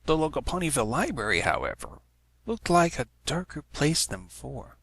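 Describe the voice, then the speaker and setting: A man's voice: a little high, wildly swooping pitch, normal speed, vocal fry, a bit bright, no room sound. A man giving a scripted narration of a story as an amateur reader. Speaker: amateur fan fiction reader.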